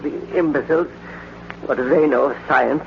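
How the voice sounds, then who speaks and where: Gravelly, indignant old scientist. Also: male voice, a little high, normal speed. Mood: outraged. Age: older. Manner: formal, fervent, theatrical. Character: reclusive older male scientist, indignant and obsessive. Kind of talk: 1940s-50s radio drama acting. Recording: dull, lightly noisy vintage radio recording.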